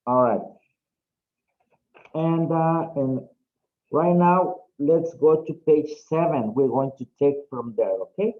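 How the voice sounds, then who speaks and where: A man teaching an English class, explaining slowly and often checking in with "okay?". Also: a little high. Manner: slow, drawn-out, frequent "okay?" checks. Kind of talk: online class instruction. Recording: dull lo-fi audio.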